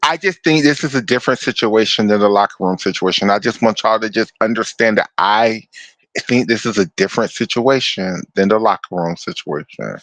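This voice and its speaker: A man with a deep voice co-hosting a podcast, talking bluntly and emphatically, swearing freely. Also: a little fast, a bit bright, no room sound, low-quality recording. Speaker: podcast co-host. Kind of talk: casual chat.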